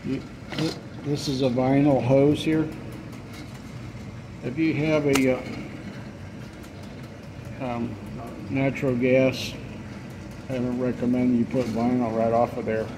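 A man working as a plumber talks through a clogged drain job in a level, unhurried voice that hardly rises or falls. He pauses on fillers as he explains. The noise of running water and machinery fills the basement around him. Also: medium pitch. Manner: relaxed, explanatory, trails off with fillers. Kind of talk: casual how-to commentary while working. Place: basement utility area, indoors.

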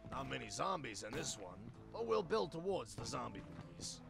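A man's voice: mid-range voice, very animated, normal speed, vocal fry, over music. A man voicing a grumpy, foul-mouthed, bossy rabbit in his sixties for a cartoon adventure game. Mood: scheming, laid-back. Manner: sweary, bossy, slangy. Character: grumpy foul-mouthed 63-year-old cartoon rabbit, scheming salesman, male. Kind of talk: voiced game dialogue.

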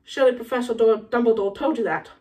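A woman's voice: fairly even intonation, fast talker, warm tone, recorded from a distance, close to an everyday voice. A woman voicing a proper female professor from a storybook, flustered, indignant and scandalised. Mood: flustered protest. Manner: high, breathless, scandalised.

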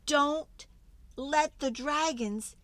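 A woman's voice: high voice, drawn-out words, fairly bright tone, dry recording. A woman reading a children's storybook aloud in a dramatic, theatrical way, stretching words for emphasis.

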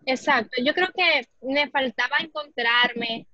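A woman's voice: high voice, slow, sharp tone, no room sound. A Dominican woman in her twenties with a Cibao accent, a guest answering interview questions earnestly and reflectively over a video call. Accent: Dominican Spanish, Cibao. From Dominican Republic. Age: 20s. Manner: earnest, reflective, many fillers. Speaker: guest, fitness model. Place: small room indoors. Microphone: laptop or phone mic on video call. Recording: muffled video call audio.

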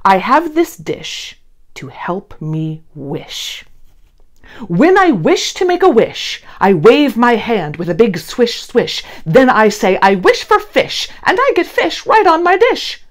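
A woman's voice: on the high side, sing-song, slow pace. A woman voicing a cheerful, odd little storybook creature in an exaggerated, singsong manner. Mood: proud, playful.

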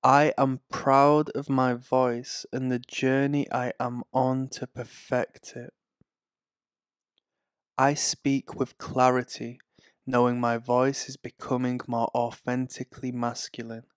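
A man reading guided affirmations in a calm, soothing, scripted way. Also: medium pitch, smooth, dry recording, studio quality. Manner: slow, calm, soothing delivery. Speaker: affirmation narrator.

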